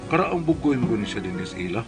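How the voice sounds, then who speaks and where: A man's voice: mid-range voice, very animated, fairly quick, loud music behind the voice, close to an everyday voice. A man playing a mild, long-suffering husband and exasperated father, narrating and acting in a comedic radio drama. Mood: resigned, confiding.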